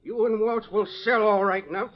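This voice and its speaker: A man's voice: high voice, steady tone, brisk, a little hiss or hum, lightly acted. An actor playing an indignant, sputtering American small-town banker, anxious about his money, in a 1940s radio western. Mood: blustery, insistent. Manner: sputtering, blustery. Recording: muffled old radio recording.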